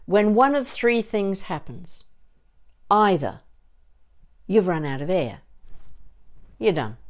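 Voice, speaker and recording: A woman voice coach leading a warm-up lesson in an informal, encouraging and playful way, explaining each exercise as she goes. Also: medium pitch. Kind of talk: instructional vocal warm-up lesson with demonstrations.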